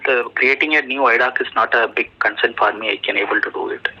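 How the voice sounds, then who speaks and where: A man with an Indian accent answering technical questions as a job candidate over the telephone, halting often and searching for words. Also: medium pitch, fairly even intonation, sharp tone, slight background noise. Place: telephone call. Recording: muffled, dull telephone interview recording.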